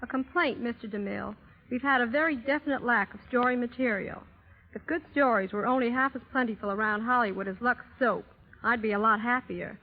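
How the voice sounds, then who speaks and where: Formal woman, highish voice, vintage radio. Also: brisk. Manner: formal, articulate. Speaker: interview guest. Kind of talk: scripted 1930s radio interview. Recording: muffled old radio recording, long reverb.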